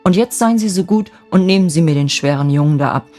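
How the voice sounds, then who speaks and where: Woman acting a low-voiced, awkward old bachelor. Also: lively intonation, quick pace, warm tone, close to an everyday voice. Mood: brisk, softening. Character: gruff old bachelor man, awkward but softening. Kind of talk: storybook fairy tale character voice. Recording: music bed under the voice.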